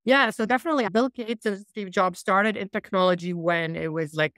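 A man in his forties from Iraq, a software founder, answering interview questions on a laptop video call in a small room, thoughtful and loose with restarts. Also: high-pitched, fairly quick, no room sound, clean studio sound. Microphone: laptop mic on a video call.

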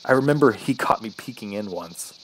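A man's voice: lowish voice, expressive, lightly acted. Brooding trapped man played by an actor, noisy ambience. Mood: haunted. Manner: brooding, halting, dramatic. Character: injured, thirsty trapped man recounting his ordeal. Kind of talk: first-person horror radio drama narration.

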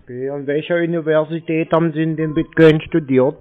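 Man, casual phone chat, terse and deadpan, slightly high voice, narrow telephone sound. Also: a little fast. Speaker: prank call recipient baiting phone scammers.

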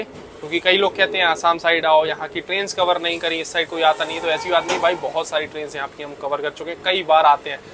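A chatty man with a fairly high voice, vlogging a train trip and talking straight to his viewers as he goes. Faint train rumble sits behind him on a handheld camera mic. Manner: chatty, addresses viewers as bhai. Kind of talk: casual vlog narration. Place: aboard a moving train and on station platforms.

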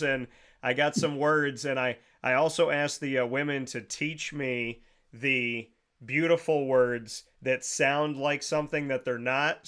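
Man, 30s, podcast host, chatty, rambling, smooth resonant voice, studio mic. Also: mid-range voice, a bit bright. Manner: chatty, rambling questions with many restarts. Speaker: show host. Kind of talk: casual video-call interview. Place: small decorated home office. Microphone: studio mic with headphones.

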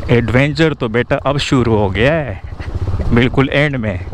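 A man's voice: mid-range voice, unhurried. A man vlogging an off-road motorbike ride up a mountain, exclaiming and praying aloud as he rides. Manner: exclaims and prays aloud while riding. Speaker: motovlogger. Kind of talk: excited off-road moto vlog narration. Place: outdoors on a mountain trail with motorbikes. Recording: loud outdoor vehicle noise. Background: loud engine and wind noise.

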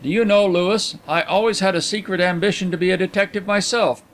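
A man voicing a genial, cultured gentleman in an audiobook, speaking in articulate, formal phrases with shrewd self-assurance. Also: sits high, fairly even intonation, fairly quick, voice pushed up, lightly acted. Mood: urbane, genial. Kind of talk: audiobook character dialogue.

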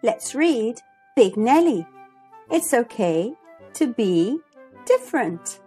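A woman reads a picture book aloud for children as host. Her voice is high and animated, and she takes the words slowly and expressively. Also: breathy edge. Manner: expressive, deliberate. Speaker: host and storybook reader. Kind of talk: scripted children's story read-aloud. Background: music.

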